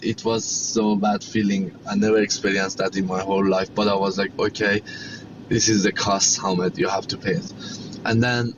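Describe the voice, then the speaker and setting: A middle-aged man from Iran, a native Persian speaker with a Persian accent, telling his story as an interview guest in halting, repetitive English. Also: lowish voice, dull audio, noisy background. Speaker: guest, chef and cafe owner.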